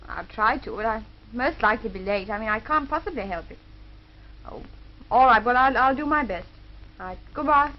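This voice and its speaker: A woman's voice: a little high, animated, a little fast, close to an everyday voice. An actress playing a petulant, put-upon young woman on the telephone in a 1930s film, arch and clipped. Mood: evasive. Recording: noisy, dull 1930s film soundtrack.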